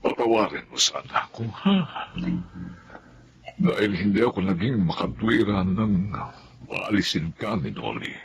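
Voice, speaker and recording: An actor playing an older, apologetic employer in a Filipino radio drama. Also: male voice, on the low side, sing-song, a little slow, vocal fry, a little hiss or hum, full character voice. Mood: remorseful. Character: older wealthy employer, remorseful father, polite and apologetic.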